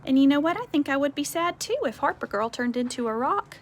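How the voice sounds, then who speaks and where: A woman with a fairly high voice reads a children's story expressively. She breaks off for conversational asides to explain things to young listeners. Faint outdoor sound sits behind her, as on a porch. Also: lively intonation, brisk. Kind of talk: expressive picture-book read-aloud with asides to children. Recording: faint outdoor ambient noise.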